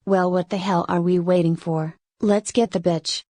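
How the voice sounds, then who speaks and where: Woman playing an angry, lower-voiced cartoon sister. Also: steady tone, moderate pace, warm tone, no room sound, natural voice. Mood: impatient. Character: angry, impatient cartoon sister, foul-mouthed fighter. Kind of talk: amateur animated drama dialogue.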